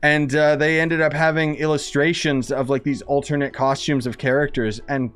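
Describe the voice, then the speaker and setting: A man's voice: a little high, little pitch movement, normal speed, fairly bright tone, smooth. A man giving casual gameplay commentary as a streamer, slangy and opinionated, rambling as he goes. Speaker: gaming streamer.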